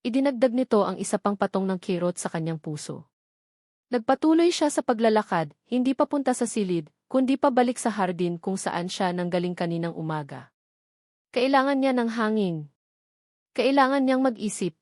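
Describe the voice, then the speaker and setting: A woman reading a scripted love story as narrator in a dramatic, measured manner. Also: medium pitch, expressive, darkish tone, no room sound, clean studio sound.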